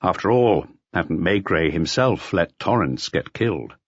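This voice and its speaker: Lively, lower-pitched male audiobook narrator. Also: measured pace, slightly rough, dry recording. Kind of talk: expressive scripted narration of a mystery novel. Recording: slightly dull, lo-fi audio.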